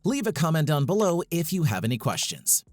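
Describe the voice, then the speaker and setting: A man's voice: fairly high pitch, sing-song, a little fast. A man reading a scripted tutorial as its host, in an instructional, step-by-step manner. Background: background music.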